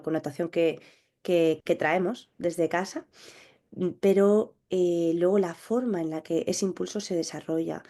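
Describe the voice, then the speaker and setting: A woman sexologist answering interview questions, explaining in a measured, thoughtful way with small restarts. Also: fairly low pitch, dark tone. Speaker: clinical sexologist guest.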